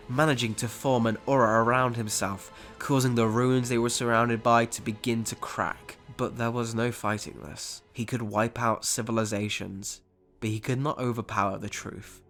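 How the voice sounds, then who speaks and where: A man delivers scripted narration for a dramatic story. His voice sits at a middle pitch, with light music running underneath. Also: fairly bright tone.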